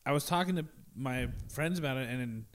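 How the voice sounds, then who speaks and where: A man's voice: mid-range voice, fairly quick. A man co-hosting a podcast at home, chatting casually and rambling with many fillers, close to a shared handheld mic. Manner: rambling, lots of fillers and restarts. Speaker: podcast co-host. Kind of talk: casual chat. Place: at home with a cat. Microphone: shared handheld mic held close.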